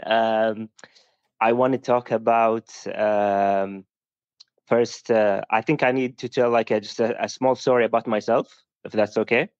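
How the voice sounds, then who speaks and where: A man whose first language is Arabic, calling in over a video call and talking hesitantly, pausing often to search for words. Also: mid-range voice, darkish tone, clear, resonant tone, no echo. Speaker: caller. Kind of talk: casual call-in chat. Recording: dull, dry video call audio.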